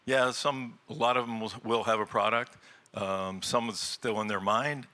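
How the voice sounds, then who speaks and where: A man's voice: on the low side, fairly even intonation, moderate pace, sharp tone. A middle-aged man speaking as an early-stage investor on a business panel, plainspoken and repeating words as he thinks. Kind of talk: panel discussion.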